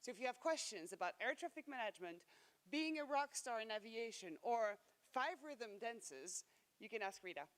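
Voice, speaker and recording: A woman's voice: a little high, expressive, normal speed. Chatty woman host in a reverberant hall. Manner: chatty, playful. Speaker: event host. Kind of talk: introducing a speaker. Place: conference hall.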